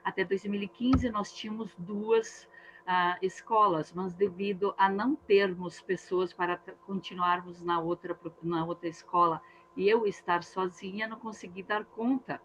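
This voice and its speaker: A woman's voice: mid-range voice, steady tone, normal speed, a little hiss or hum. A woman teacher from southern Brazil with an Italian-influenced accent, a native speaker of Italian dialect, speaking earnestly at an online meeting. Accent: Italian-influenced Brazilian Portuguese. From Antônio Prado, southern Brazil. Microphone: video call. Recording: dull lo-fi video call audio.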